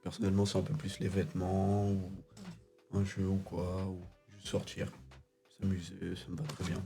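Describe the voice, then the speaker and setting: A young man of eighteen chatting as a guest, low-key and hesitant. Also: monotone, moderate pace, music in the background. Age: late teens.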